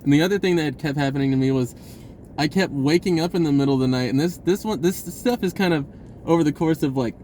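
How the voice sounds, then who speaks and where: A man telling a personal story in a casual, rambling, informal way with an animated, giggly delivery. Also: a little high, quick pace, slight background noise.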